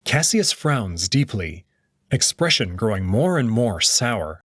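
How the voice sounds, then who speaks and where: A man narrating a visual novel aloud in an expressive way. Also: lowish voice, slow, dry recording, studio quality. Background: background music.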